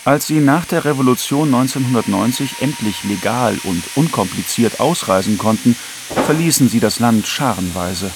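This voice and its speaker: A man with a gravelly voice reads documentary narration in a factual, even way. Clear noise sits under his voice. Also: mid-range voice, moderate pace. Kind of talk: scripted narration.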